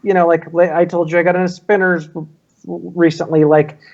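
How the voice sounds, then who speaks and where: A man's voice: a little high, flat delivery, a little fast, gravelly, a little mellow, highs cut off. An American man chatting casually in a rambling, conversational way with lots of filler phrases. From United States. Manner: rambling, frequent "like" and "you know". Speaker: vaping talk show panelist. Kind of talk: casual chat.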